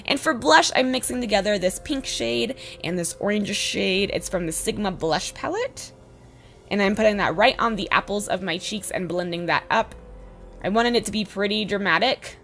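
A woman in her twenties with a slightly hoarse voice, giving a casual, chatty makeup tutorial voice-over. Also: mid-range voice, expressive, moderate pace, a bit bright. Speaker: beauty vlogger, host. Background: background music.

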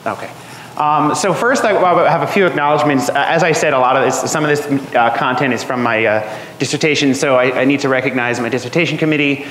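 A man in his thirties presents an academic seminar in a relaxed, conversational way, sprinkling his sentences with ums and uhs. His voice sits at an ordinary pitch and rings through a roomy hall with a long reverb. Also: fairly quick. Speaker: guest seminar speaker. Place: lecture room. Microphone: room microphone. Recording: echoey hall, faint noise.

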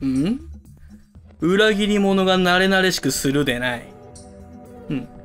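Pompous villain club president, sharp and theatrical. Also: male voice, high voice, lively intonation, unhurried, faint music behind. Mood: haughty. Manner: grandiose, theatrical. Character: pompous villainous male school club president obsessed with masks. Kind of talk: amateur voice drama acting.

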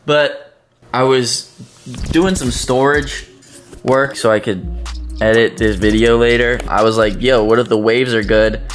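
A man's voice: mid-range voice, fairly even intonation, a little slow, soft background music. A man in his twenties with an American accent, chatting casually to viewers in slangy surfer-bro talk as he vlogs. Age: 20s. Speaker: vlogger. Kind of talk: casual vlog chat to viewers. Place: rooms at home, later outdoors by a garage. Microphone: handheld camera at arm's length.

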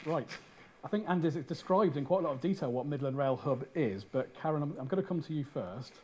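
A man moderating a panel event in a hall, brisk and polite. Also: fairly high pitch, expressive, a little mellow, husky.

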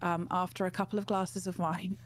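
A woman's voice: fairly low pitch, flat delivery, fairly quick, crowd noise. A woman in her forties talking casually as an interview guest, telling anecdotes in a conversational way. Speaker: guest, gaming streamer. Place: small home room, indoors, on a video call. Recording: clear background noise with hum.

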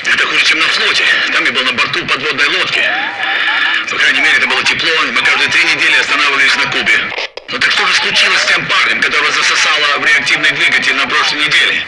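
A man playing a brisk Russian patrol soldier in a military video game. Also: fairly high pitch, heavy background noise, lightly acted. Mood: bored banter.

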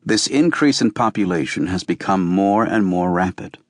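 A man reading a novel as an audiobook narrator, with measured, deliberate phrasing. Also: low voice, dry recording, clean studio sound. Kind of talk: scripted literary narration.

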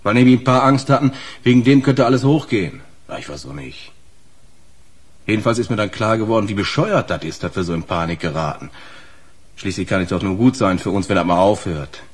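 Fast-talking, husky trucker. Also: male voice, fairly low pitch, expressive. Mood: sheepish, explaining. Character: plain-spoken male long-distance trucker, sheepish, colloquial. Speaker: actor in a radio crime drama. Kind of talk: German radio crime drama dialogue.

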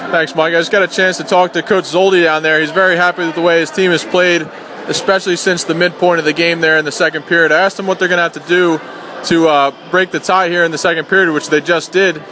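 A man's voice: fairly high pitch, flat delivery, fast, pushed and bright, a little hiss or hum. A man giving a rinkside report during a hockey broadcast, with many fillers and restarts. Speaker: rinkside analyst. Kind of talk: sideline report.

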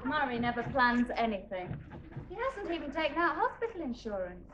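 A tart wife, wry digs at men, high lively voice, dull old tv sound. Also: female voice, a little fast. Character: sharp-tongued young wife, fed up with men. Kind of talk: 1980s sitcom acting. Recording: dull lo-fi TV audio with faint hiss.

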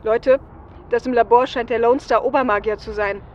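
A woman's voice: a little high, fairly even intonation, normal speed, natural voice. A woman playing a flippant female hacker on a heist team in an audio drama, speaking casually. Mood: tense reporting. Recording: dull, noisy audio.

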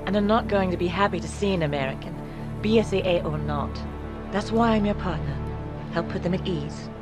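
A woman's voice: on the low side, brisk, close to an everyday voice. Woman acting a composed, businesslike field agent. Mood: matter-of-fact. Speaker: game character, field partner. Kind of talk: cinematic game acting. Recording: loud music bed behind.

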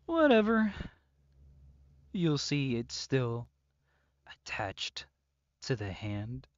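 A man's voice: mid-range voice, sing-song, extremely slow, dry recording, muffled recording. A man playing an obsessive, possessive psychopath captor in an intimate roleplay audio drama, speaking with mock tenderness and often dropping to whispers. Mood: sadistic glee.

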